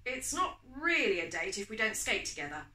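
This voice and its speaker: A woman's voice: medium pitch, very animated, normal speed, bright, forceful tone, echoey room. A woman voicing a confident, friendly male firefighter who flirts, teases and reassures in a storybook romance. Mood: teasing. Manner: teasing, reassuring. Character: confident, flirty, friendly tall fireman on a date.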